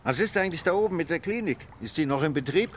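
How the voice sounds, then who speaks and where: A man's voice: a little high, lively intonation, quick pace, a little hiss or hum, close to an everyday voice. An actor playing a bemused male visitor in a radio drama, casual and questioning.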